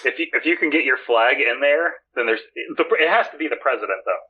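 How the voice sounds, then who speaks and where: Husky man on dull lo-fi audio. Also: medium pitch, expressive, fast. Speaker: podcast host. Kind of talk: casual chat. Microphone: remote call.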